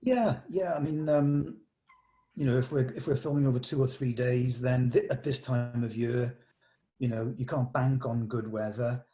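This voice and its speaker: A man with a mid-pitched voice talks through plans in a casual meeting. He sounds like a film director thinking aloud, pausing and restarting as he works things out. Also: fairly even intonation, mellow, slight background noise. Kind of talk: casual production meeting talk.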